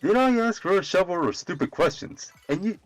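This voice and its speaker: Man as a brusque, wildly animated cartoon dad. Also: high-pitched, a little fast, no room sound, faint music behind, voice pushed up, poor audio. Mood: callous, irritated. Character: gruff, callous, stingy cartoon father.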